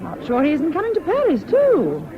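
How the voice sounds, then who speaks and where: An actress playing a rich, imperious, mocking wife with an English accent, bossy and arch, in a British radio drama. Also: female voice, high-pitched, wildly swooping pitch, moderate pace. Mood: arch, commanding. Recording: dull old radio recording with crowd sounds.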